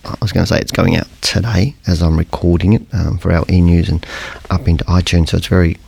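A middle-aged man with a croaky voice hosting a podcast, explaining things in a rambling, conversational way and often correcting himself. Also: low-pitched, steady tone, fast talker, darkish tone. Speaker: podcast host. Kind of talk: casual educational podcast monologue.

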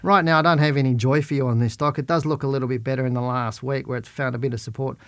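A middle-aged man with a croaky voice from a head cold, hosting a podcast and casually answering listener questions while reading stock charts. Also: medium pitch, fairly even intonation, quick pace, dry recording. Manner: chatty, plain-spoken, talks while reading charts. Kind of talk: casual market commentary.

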